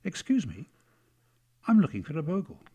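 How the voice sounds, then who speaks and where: A man's voice: fairly high pitch, huge pitch swings, normal speed, mellow, slightly rough, pitched above the performer's own voice, exaggerated voice. A man voicing a naive, earnest young boy in a story, with a very polite manner. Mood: polite, hopeful.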